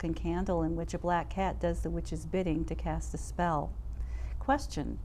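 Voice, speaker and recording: An older woman hosting a studio talk show, speaking in a deliberate, articulate way as she presents and interviews. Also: lowish voice, darkish tone. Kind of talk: presenting and interviewing, partly read from notes. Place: indoor TV studio set. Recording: clear background noise.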